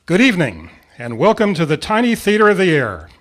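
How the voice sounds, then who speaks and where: A man's voice: sits high, huge pitch swings, fairly quick. A man acting as announcer and narrator for an amateur old-time radio play. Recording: live club performance with music behind.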